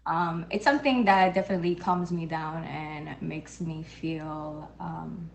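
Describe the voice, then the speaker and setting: A woman's voice: low voice, steady tone, unhurried, vocal fry, rough recording. A woman from Canada whose first language is Portuguese, chatting as an interview guest in an easygoing, modest way. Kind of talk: casual interview.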